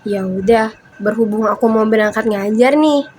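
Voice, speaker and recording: A young woman casually introducing a video, relaxed and plain. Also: a little high, normal speed, slight background noise. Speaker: presenter.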